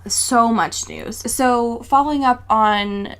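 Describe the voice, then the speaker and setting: A woman's voice: fairly high pitch, takes it slowly, a little hiss or hum. A woman co-hosting a podcast, telling stories in casual, comedic chat with lots of swearing and acted-out dialogue. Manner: animated, sweary, acts out dialogue. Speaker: podcast co-host.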